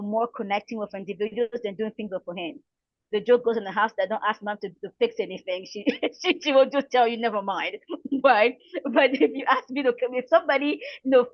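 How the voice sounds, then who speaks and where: Higher-voiced encouraging woman, dull lo-fi sound. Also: dry recording. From Republic of the Congo. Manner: encouraging, earnest, repeats phrases for emphasis. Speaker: guest speaker, coach and counselor. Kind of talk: motivational advice in an interview.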